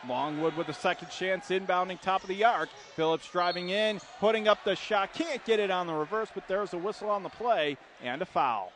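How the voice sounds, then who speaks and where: A man's voice: high-pitched, a little fast. An American man calling live basketball play-by-play for radio in punchy, urgent phrases from courtside. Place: courtside in an indoor arena. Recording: loud gym noise behind. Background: arena ambience, bouncing basketballs.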